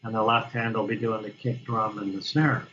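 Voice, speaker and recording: A man talking casually as an interview guest, halting and restarting often as he explains how he plays drums. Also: on the low side, fairly even intonation, a bit bright, lo-fi sound. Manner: halting, many restarts and fillers. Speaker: guest drummer.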